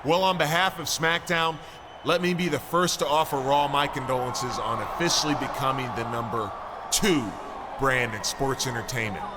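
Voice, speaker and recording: A man's voice: medium pitch, steady tone, normal speed, close to an everyday voice. A man playing a self-assured, dry and cheeky pro wrestler in a video game cutscene. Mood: dry, confident. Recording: noisy game cutscene audio.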